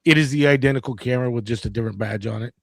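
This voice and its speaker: A man's voice: mid-range voice, racing, gravelly, dry recording, clean studio sound. A middle-aged man talking casually in a panel chat.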